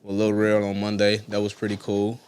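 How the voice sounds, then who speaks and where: A young man hosting a live-stream talk show, teasing his co-hosts in loud, slangy banter and hyping tickets. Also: lowish voice, monotone, a little fast, clean tone, no echo. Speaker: show host. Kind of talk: casual live-stream chat.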